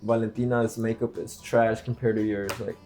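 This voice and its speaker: A man's voice: on the low side, flat delivery, moderate pace, mellow, soft background music. A young man hosting a casual vlog, boasting playfully while doing makeup blindfolded. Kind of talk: casual joking vlog chat.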